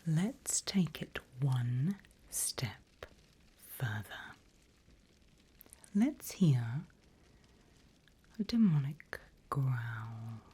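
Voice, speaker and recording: Seasoned female demon guide played by a woman for ASMR roleplay: very breathy whisper, low, slow, smug and menacing. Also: big pitch swings, dark tone, exaggerated voice. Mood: instructive, menacing. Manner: slow, drawn-out, theatrical.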